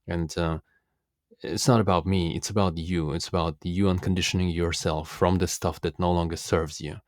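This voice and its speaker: A man from Russia, a native Russian speaker, giving a reflective, philosophical self-help monologue in an earnest, deliberate manner as a coach. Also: deep voice, level tone, darkish tone, no room sound, studio quality.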